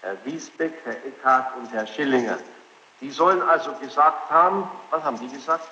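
A man questioning a witness formally and insistently, in long, halting sentences. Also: medium pitch, bright, forceful tone, lo-fi sound. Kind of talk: formal courtroom-style questioning. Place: large reverberant room. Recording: noisy echoing archival tape of hearing.

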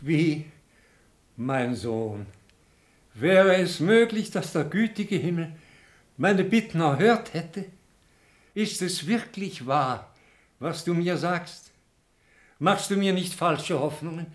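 A man's voice: a little high, expressive, slow pace, pauses often. A man playing a pious, tender elderly father in a German radio play, declaiming with emotion. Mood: hopeful disbelief.

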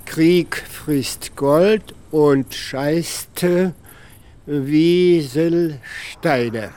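A man from Russia, a professor reciting proverbs in a halting, deliberate way, with vehicle noise behind. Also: a little high, a little mellow. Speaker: language professor. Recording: faint car and outdoor noise.

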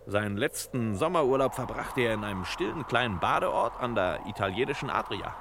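An actor playing a self-assured, know-it-all master detective in a crime radio play parody, with pompous, clipped delivery. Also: male voice, mid-range voice, lively intonation, brisk, close to an everyday voice. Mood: smug, deducing. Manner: pompous, clipped, precise deductions. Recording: noisy old radio drama recording.

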